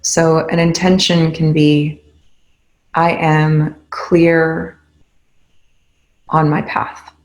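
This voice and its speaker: Woman, meditation guide, low pitch, flat steady intonation, calm, gentle instruction. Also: very slow, pauses often, poor audio.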